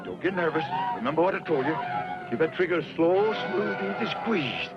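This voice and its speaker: An actor playing a cantankerous, excitable old rifleman with a rural Western American accent and folksy, blustering delivery in a radio western. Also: a little high, moderate pace. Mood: coaching. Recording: dull lo-fi radio recording, loud music.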